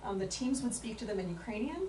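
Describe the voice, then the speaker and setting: A woman's voice: medium pitch, animated, fast, dark tone. A woman answers an audience question at a book talk, thinking aloud and restarting her sentences often. She sounds set back from the microphone in a reverberant room. A faint hiss sits under her voice. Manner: thoughtful, many fillers and restarts. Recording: echoey room from far off with faint noise.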